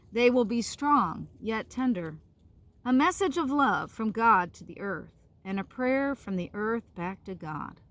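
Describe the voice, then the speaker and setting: A woman pastor reading a storybook and giving an informal devotional talk for children, with gentle pauses and simple phrasing. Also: on the high side.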